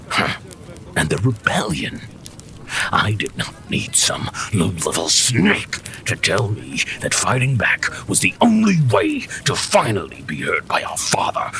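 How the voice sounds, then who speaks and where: An immensely deep, slow-speaking fire warlord for a fantasy radio play. He sneers and boasts with bitter arrogance, his gravelly voice rising and falling over faint background noise. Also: bright, forceful tone, heavily acted. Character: resentful, arrogant fire-primal warlord, male, deep and menacing. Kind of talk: fantasy audio drama acting.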